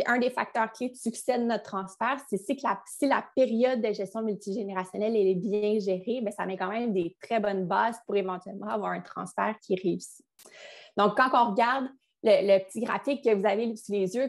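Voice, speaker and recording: A young woman with a Quebec French accent giving a business webinar on a video call, in a chatty style with frequent restarts. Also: medium pitch. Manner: conversational, with frequent restarts and fillers. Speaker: business succession advisor. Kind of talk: webinar presentation.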